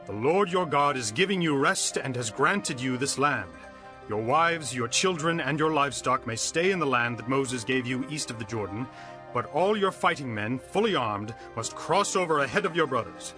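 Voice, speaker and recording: An actor playing a firm, purposeful Israelite leader in a dramatized scripture reading, declamatory and authoritative. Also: male voice, medium pitch, animated, moderate pace, bright, forceful tone. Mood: commanding. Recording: music under the voice.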